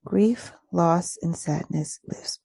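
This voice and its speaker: A woman leading a guided meditation in a soft, breathy voice, soothing and unhurried, pausing between short phrases. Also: fairly low pitch, no echo. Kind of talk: guided meditation, gentle scripted instruction.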